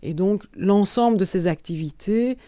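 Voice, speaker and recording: A woman's voice: mid-range voice, normal speed, mellow, no echo, studio quality. A woman giving a fluent interview answer as a youth support worker.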